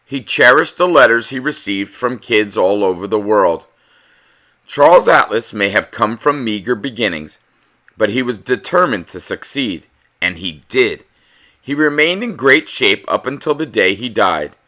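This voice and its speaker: A man in middle age hosts a children's read-aloud with a bright, forward voice. His pitch moves up and down freely, and he tells things in an emphatic, storytelling way. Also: animated, unhurried. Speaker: read-aloud host reading a children's picture book. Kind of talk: expressive children's storybook narration, with casual chat to viewers.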